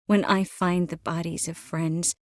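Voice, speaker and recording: A woman voicing an earnest, tender, quick-witted young sorceress in dramatic fantasy video game dialogue. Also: fairly low pitch, little pitch movement, no room sound, clean studio sound, lightly acted. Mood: grave, hushed.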